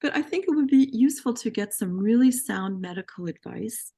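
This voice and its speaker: A woman in her sixties, a veteran therapist, chats in a lively way and acts out exchanges with her patients. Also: on the high side, fairly quick, slightly rough. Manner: animated storyteller acting out her patients' lines. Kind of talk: casual professional discussion.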